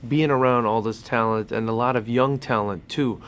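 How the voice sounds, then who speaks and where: A man interviewing a fighter, asking relaxed questions and agreeing often. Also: medium pitch, moderate pace, clear, resonant tone. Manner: relaxed, frequent backchannel agreement.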